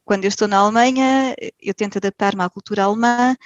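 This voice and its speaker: A woman's voice: mid-range voice, fairly even intonation, fast talker, no echo, lo-fi sound. A woman from Portugal with a European Portuguese accent, a podcast guest talking casually and hesitantly, with many fillers and restarts. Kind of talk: casual interview.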